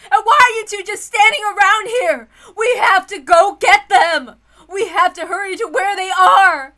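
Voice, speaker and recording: Shrill, hysterical panicked wife. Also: female voice, sharp tone, full character voice. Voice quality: shrill, wailing. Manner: frantic, sobbing, drawn-out pleading. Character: panicked, hysterical feverish wife crying out for her husband.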